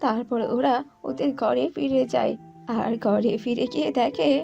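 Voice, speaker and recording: High-pitched woman narrating a story. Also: moderate pace, gravelly, dark tone. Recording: muffled audio with background music.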